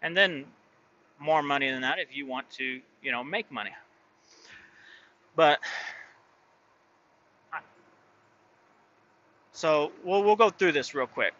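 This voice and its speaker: A man's voice: on the high side, lively intonation, slow pace, long pauses, sharp tone, highs cut off. A man who drives his own truck, talking casually and rambling, full of fillers and restarts. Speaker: truck driver and owner operator. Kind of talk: casual vlog talk.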